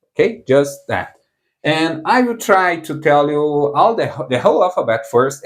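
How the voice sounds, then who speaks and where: A man from southern Brazil, a native Portuguese speaker with a Brazilian accent, giving a casual online language lesson in rambling English that he often corrects as he goes. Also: on the high side. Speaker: language teacher.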